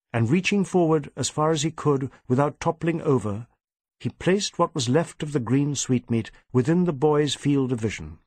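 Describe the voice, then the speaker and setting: A male narrator reads scripted literary fiction. His voice sits at a middle pitch and his storytelling is expressive and measured. The recording is very dry. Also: dry recording. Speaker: audiobook narrator.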